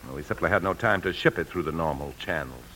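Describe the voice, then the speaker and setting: Radio play lead: American bank man with a secret, deep pitch, tense and defensive. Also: fast talker, bright, forceful tone, slight background noise. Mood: jittery, defensive. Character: nervous American bank employee husband hiding a secret. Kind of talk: 1950s American radio drama acting and narration. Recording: old radio broadcast with faint music.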